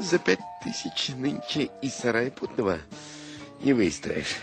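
A man playing an excitable, scheming young stage actor in a theatrical, exclamatory story reading. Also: mid-range voice, exaggerated voice. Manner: theatrical, exclamatory, drawn-out musing. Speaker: audiobook performer. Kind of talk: theatrical dramatized reading of a classic short story. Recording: music behind the voice.

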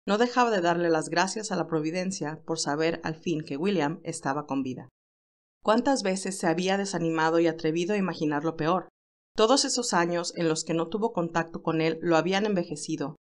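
A woman reading a scripted story aloud as an audiobook narrator. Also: on the low side.

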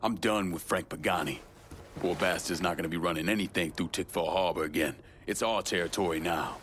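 A man's voice: low-pitched, fairly quick, a little hiss or hum. A man playing a grim, vengeful young ex-soldier crime boss in a video game, terse and hard-edged. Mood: firm, curt. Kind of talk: cinematic video game acting. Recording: loud music behind the voice.

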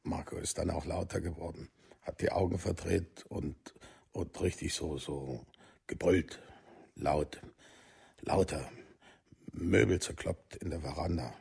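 Radio play, middle-aged East German man, plain-spoken, colloquial, very raspy, thick fry. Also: low voice, a little mellow, exaggerated voice. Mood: troubled. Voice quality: gravelly, husky. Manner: colloquial, halting, seeking agreement. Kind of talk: documentary radio play monologue.

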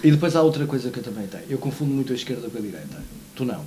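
A man in his forties with a European Portuguese accent, co-hosting a morning TV show and telling animated, self-mocking anecdotes in casual chat. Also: mid-range voice, rapid-fire, a little hiss or hum. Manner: animated storyteller, self-mocking, gestures while talking. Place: small TV studio, seated at desk.

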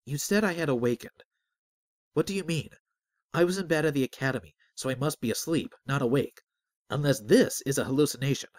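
A man voicing a defiant, impatient young orphan student of magic in a fantasy story, speaking loudly and demandingly. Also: medium pitch, brisk, voice pushed up, natural voice. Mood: impatient, questioning. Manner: loud, demanding, exclamatory. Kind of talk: fantasy audiobook character.

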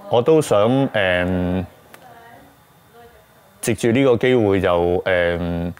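A middle-aged man with a Hong Kong accent, speaking haltingly and reflectively in an interview, searching for his words. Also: on the low side, darkish tone, clear, resonant tone. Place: small book-lined room, indoors.

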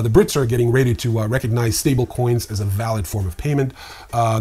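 A man in his fifties with a husky voice, hosting a news commentary to camera with dry, sardonic humour. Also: mid-range voice, a little mellow. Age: 50s. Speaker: host of a crypto newsreel. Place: small indoor study with bookshelves.

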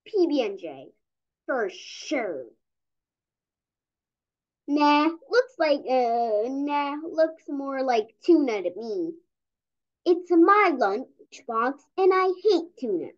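A child reads a comic aloud in a high, very animated voice. The pace is slow, with some stumbles over words, and the recording sounds dull. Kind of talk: read-aloud storytelling. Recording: dull, lo-fi audio.

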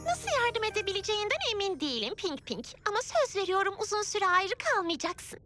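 A woman's voice: extremely high pitch, animated, a little fast. A woman voicing an eager, kind little girl heroine in a dubbed children's cartoon, speaking earnestly. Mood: kind, reassuring. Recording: music behind the voice.

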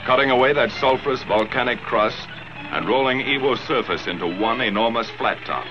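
A man's voice: medium pitch, moderate pace. An American man reads a scripted 1940s military documentary narration in a clipped, dramatic, declarative manner. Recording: dull 1940s film soundtrack, loud music. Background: loud film score.

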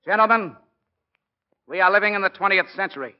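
Actor as a high-voiced, forceful American football coach, old radio. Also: male voice, brisk, close to an everyday voice. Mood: impassioned. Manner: emphatic, oratorical. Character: forceful, plain-spoken middle-aged college football coach, earnest and wry. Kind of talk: 1940s radio acting. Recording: muffled 1940s radio broadcast recording.

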